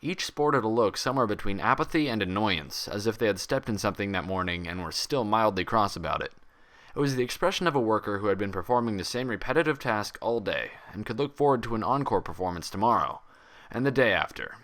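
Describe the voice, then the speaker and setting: A man's voice: lowish voice, lively intonation, quick pace, fairly bright tone. A man narrating fan fiction as a scripted, read-aloud story.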